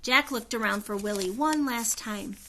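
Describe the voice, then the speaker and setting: A woman reading a children's story aloud, in an expressive narrating style. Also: on the high side, moderate pace, a little hiss or hum. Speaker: storybook reader.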